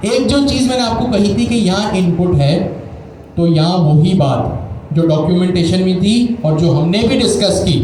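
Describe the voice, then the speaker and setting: A man's voice: high-pitched, a little mellow. A man teaching a live coding class, explaining step by step, quizzing students and repeating key terms. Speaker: coding instructor. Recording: very echoey room with clear background noise.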